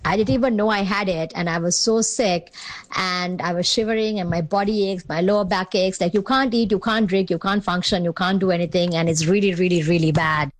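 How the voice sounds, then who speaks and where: A woman from Sri Lanka leaves a casual personal voice message, stressing her points emphatically. Her voice is on the low side and recorded very dry, without room sound. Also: steady tone, fairly quick, no room sound. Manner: emphatic, repeats words for stress. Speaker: listener giving a personal account.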